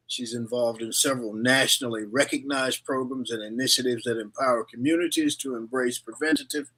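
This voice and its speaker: A man's voice: medium pitch, fairly bright tone, poor audio. A man hosting a talk show, talking loosely with chuckles and asides before reading a guest introduction. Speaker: show host. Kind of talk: casual radio talk.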